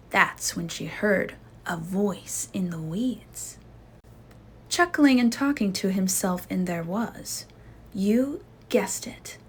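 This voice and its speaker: A woman reading a children's storybook aloud in an expressive, suspenseful storytelling manner. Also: medium pitch, slightly rough.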